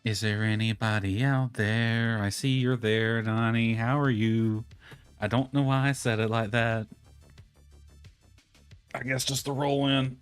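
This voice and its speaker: A man streams a game in a casual, chatty way, talking through each small task as he goes. His voice sits at an average male pitch. Soft music plays underneath. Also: steady tone, unhurried, clean tone. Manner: chatty, thinking aloud while playing. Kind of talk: casual livestream gameplay chat. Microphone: headset.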